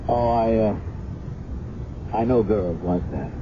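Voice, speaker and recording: Intense American detective, brooding, terse, probing, 1970s radio drama, muffled noisy broadcast. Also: male voice, lowish voice, takes it slowly. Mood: guarded, probing. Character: intense, brooding male police detective, personally invested.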